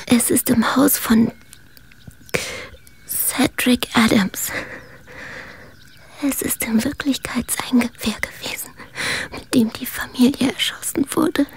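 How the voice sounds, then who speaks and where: Dying woman, husky gasping whisper. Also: fairly high pitch, fairly even intonation, heavily acted, poor audio. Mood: weak, urgent. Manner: halting, labored phrases. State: weak, struggling to speak. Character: gravely injured dying woman gasping out a secret. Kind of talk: radio drama acting.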